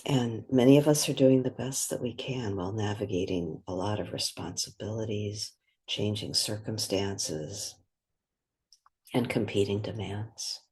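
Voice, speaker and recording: A woman guides a meditation in a soft, airy voice with a gentle breathiness. She moves very slowly, leaving long pauses between short, calm phrases. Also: rumbling low, breathy edge.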